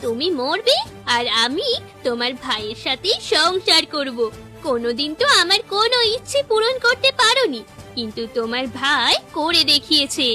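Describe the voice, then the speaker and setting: A woman voicing a scheming, two-faced village wife in a melodramatic cartoon, sharp-tongued and theatrical. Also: high voice, big pitch swings, moderate pace, faint music behind, full character voice. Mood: cold, gloating.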